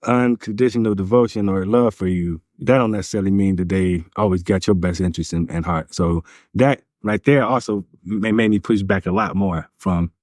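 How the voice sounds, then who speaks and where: A man in his forties with a low, mellow voice confides in his viewers. He talks softly and hesitantly, leaning on fillers. The recording is dry and close. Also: normal speed, few pauses, dry recording. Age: 40s. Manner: soft, hesitant, many fillers. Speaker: vlogger telling his own story. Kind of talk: confessional vlog.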